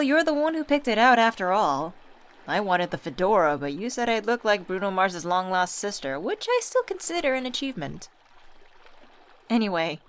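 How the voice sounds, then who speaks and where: A woman's voice: mid-range voice, close to an everyday voice. A young woman acting as a teasing, affectionate old friend in a casual ASMR comfort roleplay. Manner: playful, teasing, familiar slangy chat.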